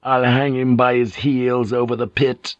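A man's voice: mid-range voice, little pitch movement, a little slow, no room sound, muffled recording, lightly acted. A man voicing a menacing, furious Japanese warlord with a raw voice. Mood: grudging menace.

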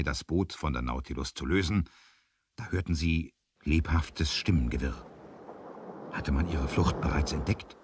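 A man narrating a scripted 1970s adventure audio drama, measured, with dramatic emphasis. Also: a bass voice, slightly rough, no room sound, clean studio sound.

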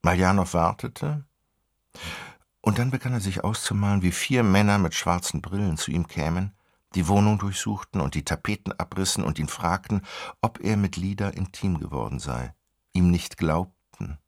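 A man narrating a radio play in a scripted literary style, with a deep, gravelly voice. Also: normal speed, dry recording, clean studio sound.

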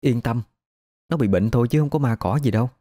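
A man voicing an easygoing older-sister neighbor who reassures calmly. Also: medium pitch, brisk, dry recording, studio quality, close to an everyday voice.

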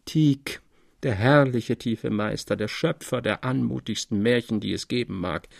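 A man with a gravelly, husky voice of middling pitch reads literary narration. The sound is close and dry, and the delivery is scripted and formal. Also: measured pace, no echo.

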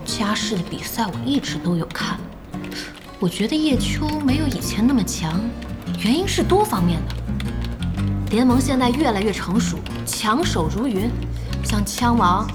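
A woman playing a bossy, outspoken internet-cafe owner and passionate gaming fan in an audio drama, with a brisk manner. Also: a little high, animated, music in the background, natural voice. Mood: earnest, opinionated. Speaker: voice actor in a radio drama. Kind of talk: Chinese audio drama acting.

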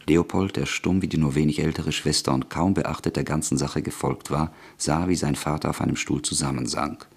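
A man narrating a radio play in a measured, scripted way. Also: fairly even intonation, vocal fry, slightly rough. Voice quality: deep, measured.

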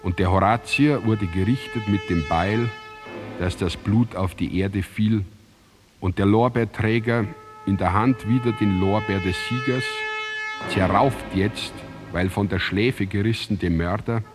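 An actor as the grave narrator of an ancient tragedy in a radio drama, reciting in a measured, solemn, declamatory way. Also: male voice, on the low side, close to an everyday voice. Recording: music under the voice. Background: music.

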